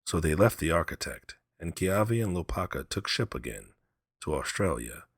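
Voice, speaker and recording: A man's voice: sits low, big pitch swings, moderate pace, breathy edge, no echo, clean studio sound. A man reading a story aloud as scripted storybook narration. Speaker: audiobook narrator, story reader.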